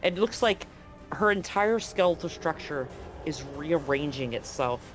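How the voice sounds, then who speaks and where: A man's voice: fairly high pitch, expressive, unhurried, fairly bright tone, soft background music, low-quality recording. A man game master narrating a tabletop horror game in vivid, graphic detail with slangy asides.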